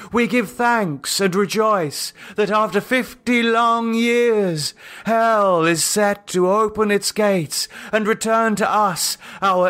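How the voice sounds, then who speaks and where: Man voicing a high, ceremonial female cult leader. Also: fairly bright tone, heavily acted. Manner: ceremonial, declamatory, exultant. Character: commanding female vampire cult leader, fervent high priestess.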